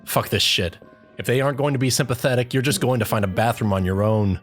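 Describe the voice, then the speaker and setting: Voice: medium pitch, fast talker. A narrator reading a scripted comic story in the second person, addressing the listener as the main character. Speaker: fanfic narrator. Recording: music behind the voice.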